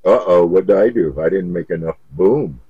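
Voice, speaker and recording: A man's voice: low-pitched, moderate pace, dull audio, a loud crowd. A man chatting casually over CB radio in an easygoing, folksy, hesitant way. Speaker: CB radio operator. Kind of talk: casual radio contact chat.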